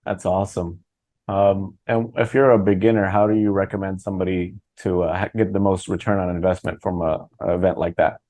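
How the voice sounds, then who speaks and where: A man's voice: deep voice, flat delivery, brisk, mellow, dry recording. A man hosting a podcast interview, casual and informal, encouraging his guest. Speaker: podcast host, author.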